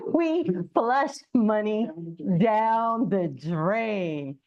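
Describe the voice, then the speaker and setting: A woman's voice: medium pitch, animated, extremely slow. Woman coaching business owners, slightly muffled audio. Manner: conversational, illustrates points with acted-out examples and rhetorical questions. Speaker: guest speaker, small business coach. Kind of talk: informal business presentation.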